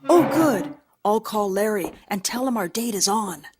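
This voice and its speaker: An actress playing an easygoing, chummy married neighbor in an audio drama. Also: female voice, mid-range voice, expressive, measured pace, darkish tone, a little hiss or hum, close to an everyday voice. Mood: friendly, casual. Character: easygoing married woman, friendly neighbor and confidante.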